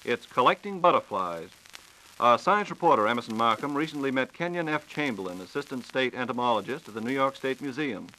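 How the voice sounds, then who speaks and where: A man's voice: mid-range voice, expressive, brisk, pushed and bright, slight background noise, poor audio. An American man hosting a scripted 1940s radio science program with a playful, theatrical announcer delivery. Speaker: radio host interviewing a science reporter. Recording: 1940s radio broadcast recording.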